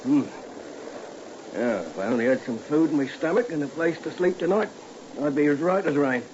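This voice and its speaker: Radio play role male actor: easygoing, colloquial vagrant, slightly high voice, dull vintage recording. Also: lively intonation, normal speed, a little mellow, a little hiss or hum, lightly acted. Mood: casual, wheedling. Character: friendly, chatty down-on-his-luck tramp. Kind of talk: old-time radio drama acting.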